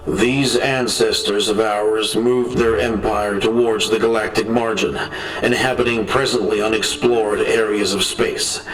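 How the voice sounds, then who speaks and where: Give this the sound of an audio drama: a man playing a measured scientist dictating a formal report. He is articulate and deliberate, with a mid-pitched voice. The sound is roomy and a little distant, with music underneath. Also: fairly bright tone, close to an everyday voice. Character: measured male research lead reporting on an ancient derelict ship. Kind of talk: dramatic scripted report narration.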